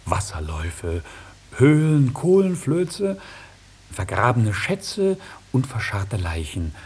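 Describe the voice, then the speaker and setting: An actor playing a sly, mocking, melancholy old dragon who knows everything, declaiming theatrically in a 1950s German radio play. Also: male voice, medium pitch, big pitch swings, takes it slowly, slightly rough, exaggerated voice. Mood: grandiose boasting. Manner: theatrical, declaiming, swooping from hushed to loud. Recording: hissy 1950s radio recording.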